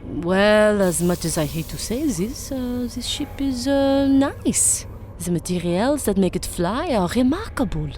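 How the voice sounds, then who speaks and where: A woman's voice: medium pitch, big pitch swings, unhurried, darkish tone, slight background noise. Haughty French female doctor for radio play, woman acting, grudging and snippy, faint ship ambience behind. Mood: grudgingly proud. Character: proud, snippy Frenchwoman doctor aboard a warship. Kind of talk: audio drama acting.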